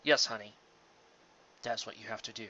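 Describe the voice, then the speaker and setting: Guy doing a solemn gentle pony dad in a story, hushed and tender, bright voice, bit muffled recording. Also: fairly low pitch, steady tone, moderate pace, a little hiss or hum. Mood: gentle, grave. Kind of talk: dramatic storybook reading.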